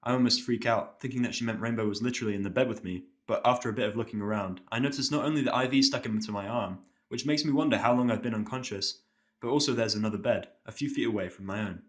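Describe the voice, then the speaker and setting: A man's voice: medium pitch, fast, a bit bright. A man narrating a scripted first-person story as an audio drama reader.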